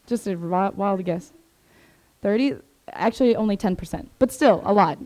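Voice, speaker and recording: A woman's voice: medium pitch, moderate pace, darkish tone. A young woman, giving a casual, filler-heavy career talk to students in a classroom. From Sweden, raised in Maryland, USA. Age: 20s. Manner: chatty, informal, many fillers and asides. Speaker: presenter. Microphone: 360 camera in the room.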